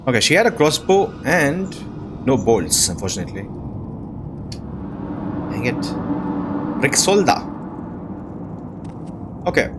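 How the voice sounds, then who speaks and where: A man giving casual, low-key gameplay commentary, musing aloud as he plays. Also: very animated, slow, pauses often, background noise. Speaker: gaming video host. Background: game music.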